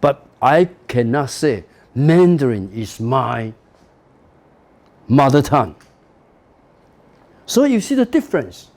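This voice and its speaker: A man's voice: medium pitch, very animated, takes it slowly, pauses often, a little mellow. A middle-aged man from Taiwan, a native Taiwanese speaker with a Taiwanese accent, giving a university lecture in English with frequent "you know" and "okay" and emphatic stresses. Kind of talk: classroom lecture.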